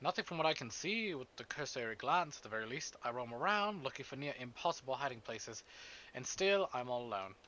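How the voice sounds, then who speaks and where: A man streaming a visual novel, moving between casual chat and expressive, theatrical story reading. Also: medium pitch, fairly quick. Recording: dull band-limited stream, music behind.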